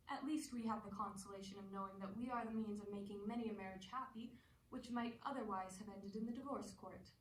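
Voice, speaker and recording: An actress playing a worldly, amused stage actress in a play, speaking with poised, gently mocking wit. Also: female voice, mid-range voice, lightly acted. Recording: roomy, distant mic, faint noise.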